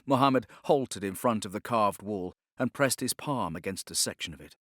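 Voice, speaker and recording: Man, audiobook narration, lowish pitch, measured, solemn, dramatic novel reading, dry recording. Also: expressive, fairly bright tone, dry recording.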